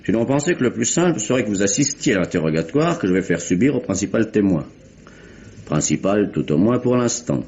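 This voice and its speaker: Un acteur jouant un procureur général britannique des années 1870, pompeux et soupçonneux, à la diction formelle et précise, dans une dramatique radio policière française. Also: male voice, on the low side, expressive, fairly quick, darkish tone, a little hiss or hum. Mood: formal, officious. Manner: formal, pompous, precise diction. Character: pompous, suspicious male British attorney general of the 1870s. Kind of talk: French radio mystery drama acting. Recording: dull, muffled vintage radio recording.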